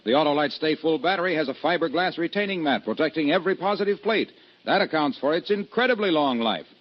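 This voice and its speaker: A man playing an eager, relentless pitchman, giving emphatic salesman patter in a vintage radio advertisement. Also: animated, fast. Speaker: commercial announcer. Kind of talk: 1940s-50s scripted radio advertisement banter. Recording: muffled vintage radio recording.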